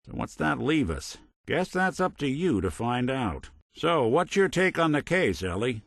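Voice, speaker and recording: Game role: weary middle-aged male android gumshoe, laconic noir wit, lively intonation, dry studio sound. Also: mid-range voice, unhurried, gravelly, dry recording, full character voice. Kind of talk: video game voice acting, noir detective.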